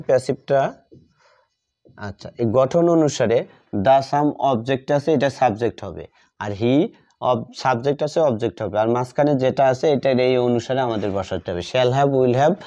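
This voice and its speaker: A man's voice: medium pitch, moderate pace, dark tone. A man teaching a grammar lesson step by step, dictating word by word and repeating himself. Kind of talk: step-by-step lesson, writing out examples. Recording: dull lo-fi sound.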